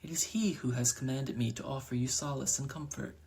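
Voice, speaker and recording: A man voicing an alluring, gentle female crow who speaks in a calm, soothing, formal way. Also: medium pitch, a little fast, gravelly, breathy edge.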